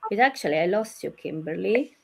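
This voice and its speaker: A woman from Italy with an Italian accent, hosting a live online chat over a video call in slightly halting English. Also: medium pitch, lively intonation, gravelly, low-quality recording. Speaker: host and career coach.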